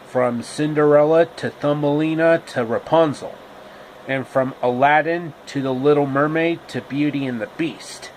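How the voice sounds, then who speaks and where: A man narrating a homemade story with deliberate, halting phrasing. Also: a little high, slight background noise, low-quality recording.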